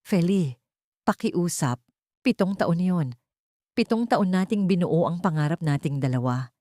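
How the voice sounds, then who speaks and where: A woman's voice: lowish voice, animated, unhurried, mellow, no room sound, studio quality. A woman storyteller voicing a disgraced executive who pleads desperately with his former lover in a dramatic romance tale. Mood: pleading. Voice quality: cracked, trembling. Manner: pleading, imploring.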